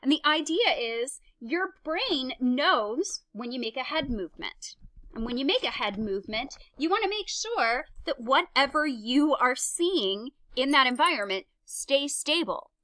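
A woman's voice: high-pitched, animated, measured pace, pushed and bright, no echo, poor audio. A woman lecturing over slides in a chatty, self-deprecating way, walking students through hands-on tasks. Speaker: psychology lecturer. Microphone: close mic, voice-over on slides.

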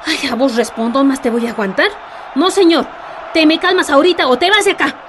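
A fraught Bolivian mother acted by a woman in a radio drama, frightened yet scolding. Her voice is high, with clear background noise around it. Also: fairly quick, natural voice.

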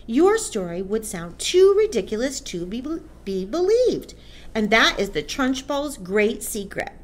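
A woman's voice: on the high side, big pitch swings, unhurried, a little hiss or hum. A woman voicing a clever, assured schoolgirl in a storybook, explaining things emphatically and with certainty. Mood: emphatic, assured.

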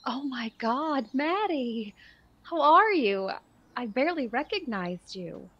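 An actress in a radio play playing a charming, youthful, breezy socialite with a casual, gushing way of talking. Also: female voice, high voice, sing-song, slow pace, no echo, dull audio, heavily acted. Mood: surprised, gushing.

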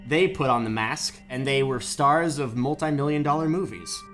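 A man's voice: medium pitch, moderate pace, a bit bright, faint music behind, close to an everyday voice. A man playing an affable businessman who rambles through absurd stories in an improvised comedic game fandub. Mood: absurd reminiscing.

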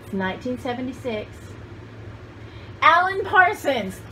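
A woman's voice: high voice, big pitch swings, a little slow, bright, forceful tone. Older lady in her 60s rambling to camera, folksy, takes her time, noisy background. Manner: folksy, exclamatory, talks to herself and viewers. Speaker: vlogger showing vintage finds. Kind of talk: casual rambling chat to camera. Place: cluttered indoor room with shelves.

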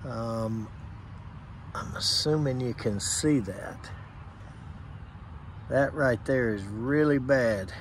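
A man of middle pitch talks slowly and plainly as an HVAC technician, pointing things out step by step. He is outdoors beside air conditioner units, recorded on a handheld phone camera. Faint outdoor noise sits behind his voice. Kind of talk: casual on-site explanation to camera.

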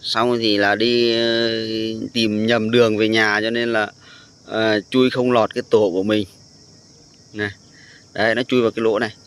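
A man with a fairly low voice narrates a casual outdoor vlog. He explains in an informal, halting manner, pausing to find his words as he goes. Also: flat delivery, a bit bright, clear, resonant tone. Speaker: vlogger. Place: outdoors on a sandy tidal beach.

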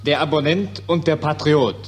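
Male radio announcer over loud music. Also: fairly high pitch, steady tone, moderate pace. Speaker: scene announcer. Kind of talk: scripted radio drama narration.

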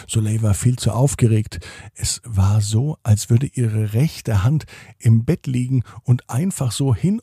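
A man hosting a children's podcast, gently reading a bedtime story in a calm, soothing way. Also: mid-range voice, gravelly, breathy edge, no room sound, clean studio sound.

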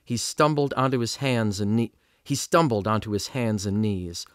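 A man's voice: fairly low pitch, normal speed, no room sound. A middle-aged man reading scripted storybook narration as an audiobook narrator at a studio microphone. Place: small padded recording studio. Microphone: studio condenser microphone on boom.

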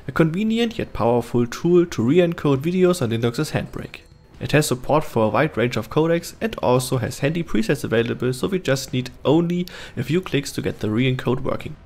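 A man's voice: mid-range voice, vocal fry, a little mellow. A man reading scripted step-by-step instructional narration as a tutorial host. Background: background music.

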